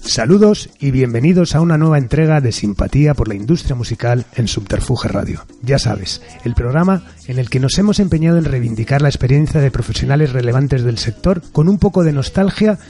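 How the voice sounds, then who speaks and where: A man's voice: medium pitch, lively intonation, fairly quick, dark tone. A man hosting a radio interview show, giving formal, polished introductions and asking questions. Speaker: radio host.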